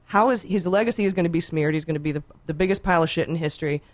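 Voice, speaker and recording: A woman's voice: on the low side, racing, no echo. A woman co-hosting a casual political chat show, firing off rhetorical questions with lots of profanity.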